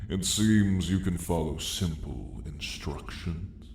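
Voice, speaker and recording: A man voicing an ancient, arrogant dragon roused in his lair, speaking with slow, imperious menace in an ASMR roleplay story. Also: low-pitched, creaky, darkish tone, pitched below the performer's own voice, exaggerated voice. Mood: commanding, haughty. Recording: echoing cavernous sound, faint noise.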